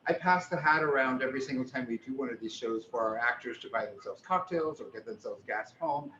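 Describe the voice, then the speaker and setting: Host intro: older man, halting and chatty; roomy, dull video-call sound. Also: medium pitch, pushed and bright. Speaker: director and host. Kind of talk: casual introduction. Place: seated on a small cabaret stage. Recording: roomy, muffled, slight noise.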